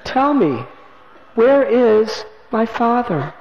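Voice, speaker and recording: A young prince cries out in grief and asks urgent questions, as a male storyteller voices him with a lifted, strained voice. He speaks slowly, and the sound is echoey and dull. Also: very high-pitched, sing-song, a little hiss or hum, pitched above the performer's own voice, heavily acted. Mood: pleading. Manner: raised, anguished, urgent questions. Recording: echoey, dull lo-fi room recording.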